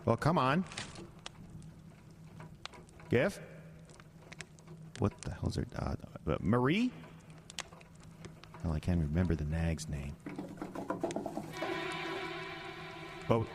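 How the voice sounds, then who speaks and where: An actor playing a panicky, rattled bureaucrat in an audio drama, stammering and halting as he talks. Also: deep voice, huge pitch swings, a little hiss or hum, full character voice. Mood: grumbling, uneasy. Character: panicky, rattled male bureaucrat fleeing a monster. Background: faint music.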